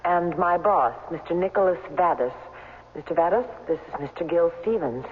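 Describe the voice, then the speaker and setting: An actress in a 1970s radio drama playing a polite, slightly hesitant employee, on a muffled, reverberant old recording. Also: female voice, fairly low pitch, normal speed, a little hiss or hum, lightly acted. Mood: politely hesitant. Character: polite female employee making formal introductions.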